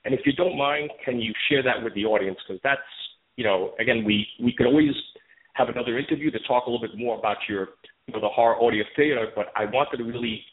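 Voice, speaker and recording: A man's voice: mid-range voice, quick pace. A man hosting an interview conversationally, with hesitant, roundabout phrasing and repeated words. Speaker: interviewer, show host. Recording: thin, band-limited phone-like sound.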